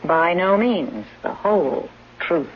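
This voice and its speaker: A woman's voice: on the low side, expressive, slow, over loud music. A woman playing a brazen, scheming caregiver in a 1970s radio drama, with a wry, cutting manner. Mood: cool, sardonic. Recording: muffled, noisy 1970s radio broadcast.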